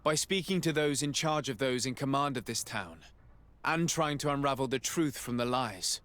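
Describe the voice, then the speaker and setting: An actor playing an earnest, courteous diplomat in a video game, speaking in a polite, formal and measured way. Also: male voice, medium pitch, fairly bright tone, no echo, natural voice. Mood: composed, inquiring. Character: courteous, earnest male diplomat, composed investigator. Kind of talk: dramatic video game dialogue.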